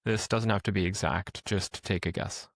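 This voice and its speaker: A man leading a guided meditation as a teacher, speaking in a calm, gentle, instructional way with pauses. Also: deep voice, gravelly, no echo, clean studio sound.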